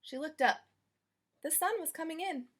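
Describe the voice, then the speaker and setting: A woman's voice: sits high, normal speed. A woman reading a children's story aloud expressively, speaking directly to young listeners. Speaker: storybook reader.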